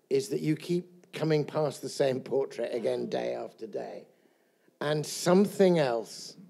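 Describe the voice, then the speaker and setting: A man's voice: fairly high pitch, creaky, warm tone. A middle-aged man, a former college chaplain, offering a thoughtful, musing comment from the audience. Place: college event hall with an audience.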